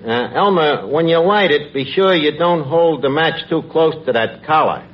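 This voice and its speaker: An actor playing a wisecracking, scheming working-class tavern manager in a 1940s radio comedy, with sardonic, hesitant patter. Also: male voice, on the high side, moderate pace, natural voice. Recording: muffled, very noisy old radio broadcast.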